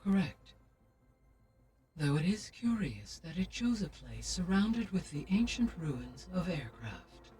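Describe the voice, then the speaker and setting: A calm artificial intelligence, speaking in a formal, measured way. It sounds a little distant from the mic. Faint game music plays behind it. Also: male voice, sits high, husky, heavily acted. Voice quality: measured, formal, even delivery. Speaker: game character.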